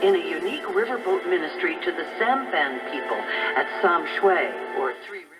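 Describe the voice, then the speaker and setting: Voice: medium pitch, lively intonation, brisk. A narrator reading a scripted religious story, heard over shortwave radio. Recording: muffled, distant shortwave radio with music. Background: music.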